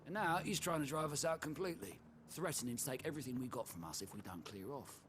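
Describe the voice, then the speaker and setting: An actor playing a blunt, scornful common trader in a fantasy game, with colloquial speech, over heavy background noise. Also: male voice, low voice, expressive, normal speed, gravelly. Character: scornful, rough common male market trader. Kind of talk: scripted fantasy game acting.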